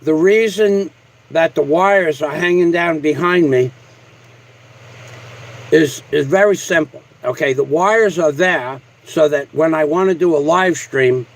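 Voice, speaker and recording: A man's voice: a little high, measured pace, vocal fry, low-quality recording. A man live streaming casually to his viewers, talking in a combative, boastful, foul-mouthed way. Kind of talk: casual livestream rant to a viewer.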